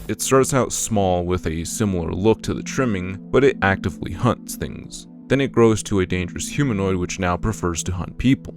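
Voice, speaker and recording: A man reading scripted explainer narration as a video essayist, in a plain, matter-of-fact way with casual asides. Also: sits low.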